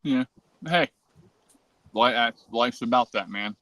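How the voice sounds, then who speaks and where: An easygoing American man chats as host of a home livestream, loose and informal, dropping the odd curse. His voice is plain and mid-pitched, recorded dry and close with no room around it. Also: slow pace, a bit bright, no room sound. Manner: easygoing, swears casually, reads chat aloud. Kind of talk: casual chat.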